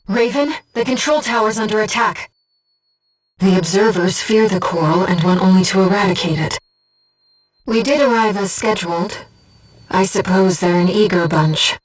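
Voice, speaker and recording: A woman's voice: medium pitch, lightly acted. Woman voicing a distant, composed female companion spirit. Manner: measured, precise, earnest delivery. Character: calm, earnest, gentle female disembodied voice guiding a pilot. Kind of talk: scripted video game voice acting, mission support.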